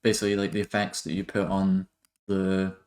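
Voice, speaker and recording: Male tutorial host, low register, very even delivery, halting and informal, working through steps aloud. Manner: hesitant, halting, thinking aloud. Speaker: music production tutorial host. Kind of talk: casual software tutorial narration.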